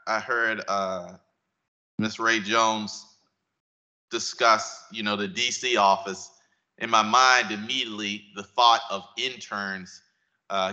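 A man's voice: fairly low pitch, pushed and bright. A man hosting a university event from a podium microphone in a lecture hall, speaking hesitantly and repeating words.

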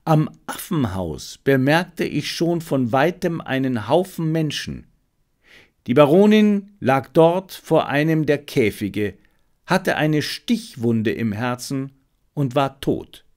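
A male narrator plays an eager young messenger boy in a detective audiobook. The boy reports earnestly and excitably, with pitch that jumps upward and swings about. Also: takes it slowly. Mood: sheepish, eager. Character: eager, disobedient messenger boy playing junior sleuth.

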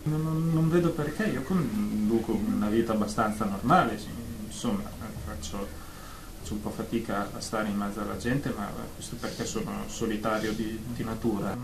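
A man's voice: fairly low pitch, steady tone, normal speed, a crowd in the background, close to an everyday voice. An actor playing an anxious, phobic male therapy patient in a radio drama, stammering hesitantly and repeating words. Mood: nervous, hesitant. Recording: roomy echo, faint background noise.

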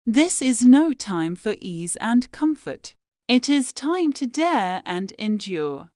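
Woman reading quotes real slow, pitch goes up and down a lot, dry close sound. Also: very animated, a little mellow, no echo. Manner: measured, deliberate reading with pauses between phrases. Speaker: quote reader.

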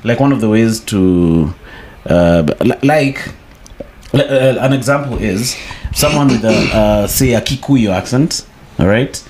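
A man with a Kenyan accent chats as a podcast host. His voice sits a little low but moves in lively swings, with slangy catchphrases throughout. There is clear noise in the background. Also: unhurried. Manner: slangy, animated, peppers talk with catchphrases. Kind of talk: casual chat.

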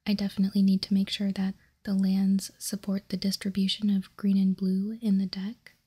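A woman hosting an ASMR card showcase in a breathy, unvoiced whisper, hushed and deliberate, close to the microphone. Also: medium pitch, monotone. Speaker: host.

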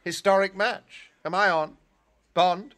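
An actor playing an arrogant, bullying rich card gambler in a radio drama, haughty, goading and blustering. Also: male voice, high voice, fairly even intonation, slow pace, fairly bright tone.

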